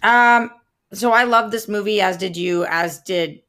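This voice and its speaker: A young woman co-hosting a casual pop-culture podcast, speaking in a measured, thoughtful way, full of "like" and "um". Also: medium pitch, fairly bright tone, clean tone. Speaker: podcast co-host.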